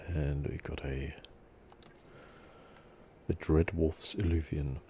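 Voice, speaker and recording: A man giving relaxed ASMR game commentary as a channel host, with soft, hesitant, musing asides. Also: a bass voice, takes it slowly, slight background noise.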